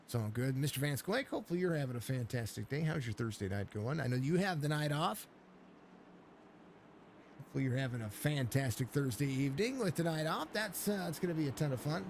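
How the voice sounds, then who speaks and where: Hoarse man calling a sim race. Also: a little high, expressive, brisk, a little hiss or hum. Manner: rambling, filler-heavy play-by-play, greets chat viewers. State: hoarse from laryngitis. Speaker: race commentator and stream host. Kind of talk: live sim racing commentary.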